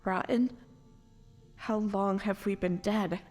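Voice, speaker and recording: Resurrected, grieving mother for audio drama: slow, anguished delivery in long reverb, music beneath. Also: medium pitch, fairly even intonation, a little mellow. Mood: bewildered grief. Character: grieving, stitched-together woman brought back from death.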